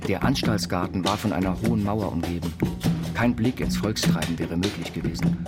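A man playing an earnest, dreamy inventor confined to an institution, reading his writings in a deliberate, formal way. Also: low voice, close to an everyday voice. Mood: solemn recollection. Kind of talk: scripted literary reading over music. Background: music.

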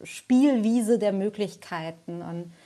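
A woman's voice: mid-range voice, slow, warm tone. A woman giving reflective interview answers as a jury member, searching for her words.

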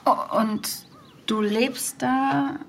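An actress in her thirties playing a curious young woman who gently coaxes a witness with probing questions in an audio drama. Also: high voice, fairly even intonation, drawn-out words, vocal fry, a little hiss or hum, full character voice. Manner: probing, gently coaxing, sometimes whispering asides. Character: curious young woman searching for a lost tape. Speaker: podcaster interviewing a witness.

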